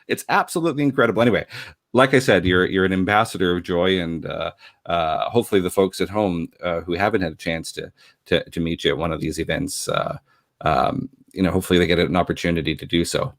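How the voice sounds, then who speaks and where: A man's voice: low-pitched, quick pace, no echo, clean studio sound. A man from Canada chatting casually in an effusive, rambling way, often repeating words as he goes. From Canada, grew up in Montreal. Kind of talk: casual conversational chat.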